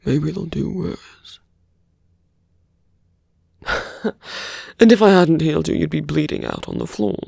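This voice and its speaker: Someone acting out a slow, breathy, gravelly seductive villain. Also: mid-range voice, warm tone, no echo, clean studio sound, exaggerated voice. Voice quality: breathy, often dropping to a whisper. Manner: slow, deliberate, taunting. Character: seductive villain, taunting a wounded hero, persuasive and menacing. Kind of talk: intimate ASMR roleplay acting.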